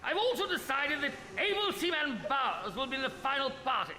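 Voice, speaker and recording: A man's voice: extremely high pitch, very animated, brisk, bright, forceful tone, slight background noise, exaggerated voice. A man doing a pompous posh expedition captain. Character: pompous upper-class male expedition captain. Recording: echoey live hall broadcast.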